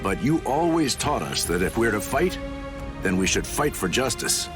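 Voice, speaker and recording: A man's voice: lowish voice, sing-song, normal speed. A man voicing a laid-back, wry swordsman captain in an anime game, with an easygoing, casual way of talking. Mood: reluctant. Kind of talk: dramatic anime game voice acting. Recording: game music behind the voice.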